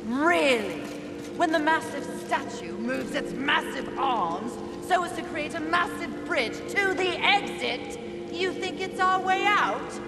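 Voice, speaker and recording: A woman's voice: high-pitched, animated, slow, heavily acted. An actress playing a haughty, ruthless warrior horsewoman in a video game, with a commanding, sardonic delivery. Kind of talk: dramatic video game acting. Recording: echoey hall reverb under loud music.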